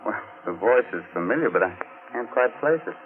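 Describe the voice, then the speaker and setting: A man's voice: medium pitch, lively intonation, brisk, close to an everyday voice. A man playing a macabre, sardonic horror host and storyteller in theatrical 1940s radio style. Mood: uneasy. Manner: theatrical, sardonic, mock-friendly. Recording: muffled 1940s radio recording with music.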